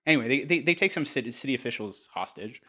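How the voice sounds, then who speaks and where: A man hosting a podcast, telling history in a casual, animated way, peppered with "like" and "right?". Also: medium pitch, quick pace. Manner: animated, filler-heavy, keeps checking in with "right?". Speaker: podcast host.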